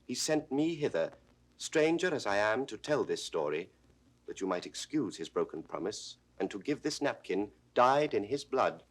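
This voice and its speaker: A man playing an earnest, noble young gentleman in 1960s recorded classical theatre, with solemn, declamatory, measured delivery. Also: lowish voice, sing-song.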